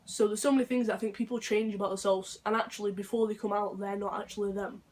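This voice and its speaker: A young man with a deep voice, talking unscripted in a rambling, earnest vlog monologue. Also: little pitch movement, fast talker. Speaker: vlogger.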